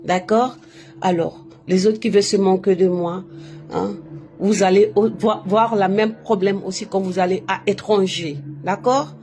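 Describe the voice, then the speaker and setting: A woman's voice: medium pitch, fairly even intonation, unhurried, dark tone. A woman, not a native speaker of the language, talking live to her viewers in an emphatic, repetitive storytelling way. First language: not French. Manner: emphatic, keeps repeating a filler between phrases. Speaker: live stream host. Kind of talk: casual live monologue, personal storytelling.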